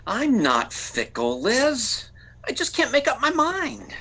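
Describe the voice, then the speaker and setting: A man's voice: very high-pitched, huge pitch swings, sharp tone, slightly rough, full character voice. A man playing a melodramatic, easily flustered bachelor playboy in 1940s-style radio comedy, with a theatrical delivery. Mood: droll, lovelorn. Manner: drawn-out, theatrical, stammering when flustered.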